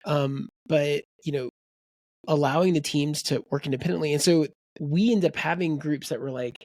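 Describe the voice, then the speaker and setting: A man's voice: fairly high pitch, normal speed, dry recording, studio quality. A man co-hosting a book podcast, rambling in casual chat and quoting people in voices. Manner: rambling, animated, quotes people in character voices. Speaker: podcast co-host.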